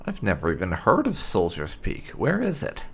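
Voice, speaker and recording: A man hosting a gaming playthrough, reading his character's dialogue aloud in a deliberate, theatrical way. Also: lowish voice, wildly swooping pitch, vocal fry, a little hiss or hum. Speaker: gaming channel host, player.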